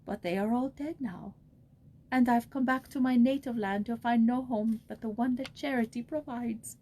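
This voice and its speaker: A woman's voice: on the high side, steady tone, normal speed, dark tone, voice pushed up, natural voice. A woman voicing a sorrowful old pauper woman in her seventies for a storybook, trembling and plaintive. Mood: tearful longing. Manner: plaintive, lamenting. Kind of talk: storybook character voice.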